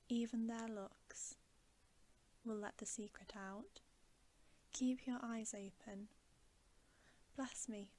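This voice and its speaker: A woman voicing a wise, kindly old male professor in a storybook, soft and whispery, with a gentle, knowing manner. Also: medium pitch. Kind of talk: ASMR storybook character reading.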